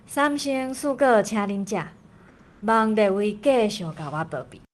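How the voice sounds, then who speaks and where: A woman playing a pious worshipper in a folk-tale play, with a Taiwanese accent and measured, ritual delivery. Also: medium pitch, lively intonation, lightly acted. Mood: reverent. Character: pious everyday female worshipper reciting offerings to gods.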